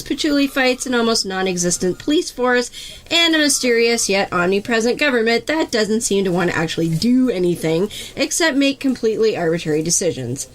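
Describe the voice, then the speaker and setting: A woman's voice: mid-range voice, natural voice. A woman playing a composed, wry local TV news anchor in a comedy podcast, speaking articulately. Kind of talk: fictional comedy podcast acting.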